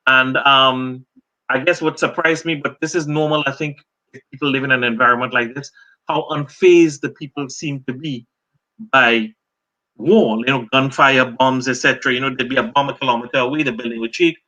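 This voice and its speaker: A middle-aged Trinidadian man, a journalist and author, answering interview questions as a lively storyteller. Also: mid-range voice, normal speed, rough recording. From Trinidad and Tobago.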